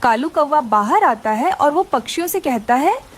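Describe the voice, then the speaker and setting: High, lively woman storyteller. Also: moderate pace, a little hiss or hum. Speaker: narrator. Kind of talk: scripted children's story narration.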